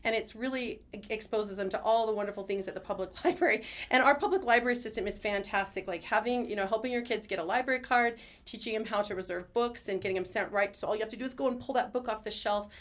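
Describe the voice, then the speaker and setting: Fast-talking, enthusiastic woman. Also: medium pitch. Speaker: schoolteacher as podcast guest. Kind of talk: conversational interview answers. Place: studio.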